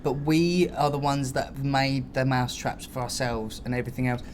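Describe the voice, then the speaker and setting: A man's voice: mid-range voice, fairly even intonation, moderate pace, a little hiss or hum. A man co-hosting a podcast in casual comedic chat, swearing freely in a slangy, informal way. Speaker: podcast co-host.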